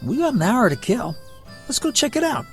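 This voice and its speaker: Performer as a cocky, animated drifter boy. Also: mid-range voice, moderate pace, faint music behind, natural voice. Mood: eager. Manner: breezy, slangy. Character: bold, cocky young male drifter. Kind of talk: audio drama acting.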